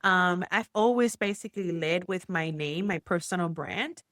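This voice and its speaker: A woman from Puerto Rico with a Puerto Rican accent, a guest entrepreneur telling her story in a chatty, animated way with many fillers. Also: on the low side, normal speed, dry recording, studio quality. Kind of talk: casual interview chat.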